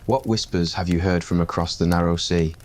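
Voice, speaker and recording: A man voicing a wry, commanding young king who speaks slowly and deliberately, in an ASMR roleplay story. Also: steady tone, natural voice. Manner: low, slow and deliberate.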